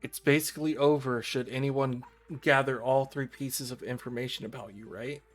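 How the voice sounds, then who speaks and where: A man streaming a visual novel, reading the game text aloud in a formal, measured storytelling style. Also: mid-range voice, fairly even intonation. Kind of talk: dramatic read-aloud narration of game text. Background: video game music.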